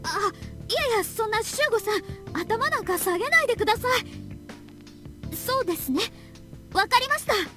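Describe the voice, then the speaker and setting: Earnest, high-voiced young anime woman. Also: animated, normal speed, long pauses. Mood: polite, gentle. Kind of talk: Japanese visual novel voice acting. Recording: game audio with music behind.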